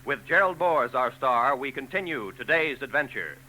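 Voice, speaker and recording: A man's voice: mid-range voice, expressive, moderate pace. A man reading a recruiting announcement and show introduction in a formal, emphatic 1940s radio style. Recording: old radio broadcast tape with faint noise.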